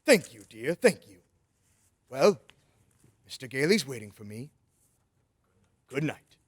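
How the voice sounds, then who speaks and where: A man's voice: mid-range voice, sing-song, slow pace, husky, heavily acted. A man playing a jolly, kindly old Santa Claus in old-time radio drama style, with playful, grandfatherly, coaxing phrasing. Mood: soft, earnest.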